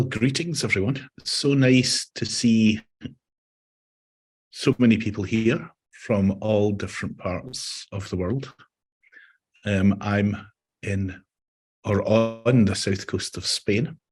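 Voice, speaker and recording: Male meditation teacher, hoarse and husky, lowish voice, measured delivery over a video call. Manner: calm, measured explaining. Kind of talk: webinar presentation.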